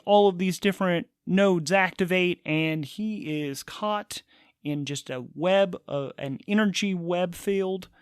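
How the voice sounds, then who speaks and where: Guy playing a tabletop rpg solo, bit high voice, dry sound, talks through rolls and story as he goes. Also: a bit bright, no room sound. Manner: thinks aloud, alternating game mechanics and storytelling. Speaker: solo tabletop game player and narrator. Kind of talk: casual actual-play game narration.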